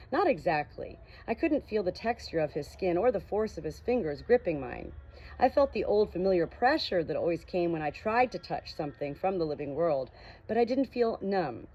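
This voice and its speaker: A woman with a slightly husky voice reading a novel aloud in an expressive, personal way. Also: medium pitch, normal speed, dull audio. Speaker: audiobook reader. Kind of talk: casual introduction and expressive narration of a novel.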